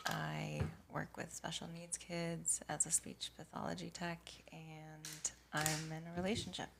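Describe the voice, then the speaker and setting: A woman's voice: deep voice, level tone, unhurried, creaky. A woman in her thirties, a podcast guest, who jokes around playfully. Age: 30s. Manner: joking, playful. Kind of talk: casual chat. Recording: loud background noise.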